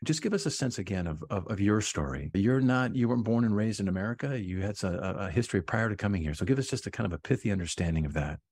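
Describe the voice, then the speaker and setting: A man's voice: fairly low pitch, expressive, fast talker, few pauses, a little mellow, breathy edge, dry recording. A man hosting a podcast interview, affirming and reflective, often restarting his sentences. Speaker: podcast host.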